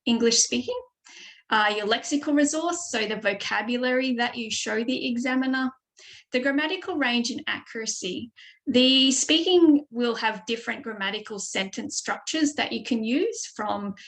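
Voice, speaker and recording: A woman's voice: fairly high pitch, gravelly, a bit bright, low-quality recording. An Australian woman giving a webinar lesson as a speaking tutor over a video call mic, explaining steadily with frequent ums. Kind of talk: online webinar presentation.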